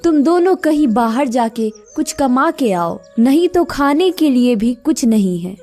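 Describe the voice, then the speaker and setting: A woman's voice: sits high, lively intonation, a little slow, mellow, faint music behind. A woman voicing a patient village mother in a cartoon story, earnest and instructive. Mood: worried, urging. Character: widowed village mother, patient, instructing her idle sons.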